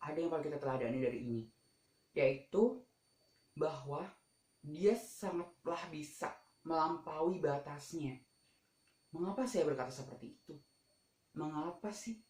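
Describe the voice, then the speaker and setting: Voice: medium pitch, a little mellow. A teenage student presenting a school vlog informally and emphatically, often putting questions to the listener. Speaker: student vlogger. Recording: echoey room.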